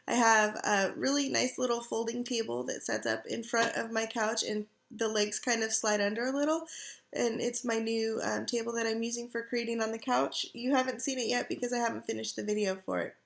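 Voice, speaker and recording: A middle-aged woman with a scratchy, irritated throat, chatting in a rambling way to her webcam from her couch at home. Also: a little high. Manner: rambling, chatty, frequent ums and throat clearing. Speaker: craft vlogger. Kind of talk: casual chat to webcam. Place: living room couch at home. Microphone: computer webcam.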